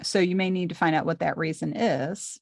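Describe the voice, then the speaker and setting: Soft-voiced woman podcast host. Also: on the low side, vocal fry, no echo, studio quality. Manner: pauses often while speaking. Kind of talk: casual interview chat.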